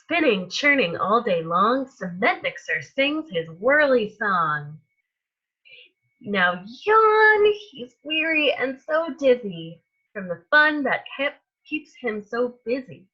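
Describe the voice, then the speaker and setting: A woman reading a picture book aloud to young children as a storytime host, in an expressive manner that stretches out words and softens endings. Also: a little high, husky, highs cut off. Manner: animated, with drawn-out words and hushed endings.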